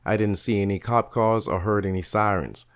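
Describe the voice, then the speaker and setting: A man's voice: on the low side, fairly even intonation, normal speed, clear, resonant tone, no room sound, clean studio sound, close to an everyday voice. A man voicing a determined young adult man who speaks in a direct, questioning way. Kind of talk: audio drama storytelling.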